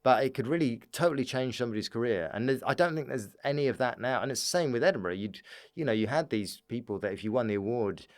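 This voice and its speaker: Man, British accent, middle pitch, clean resonant voice, casual chat, excitable rambling storyteller, podcast guest. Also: fast talker. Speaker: stand-up comedian, podcast guest.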